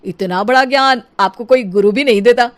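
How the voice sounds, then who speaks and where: Woman playing a smug teasing neighbour man, high voice. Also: brisk, close to an everyday voice. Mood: mocking. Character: teasing, smug male family acquaintance and neighbour.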